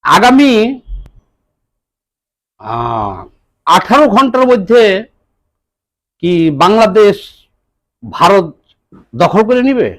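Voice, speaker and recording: Bangladeshi male political commentator, high voice with big swings, halting deliberate phrasing. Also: very animated, a bit bright, rough recording. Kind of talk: political monologue to viewers.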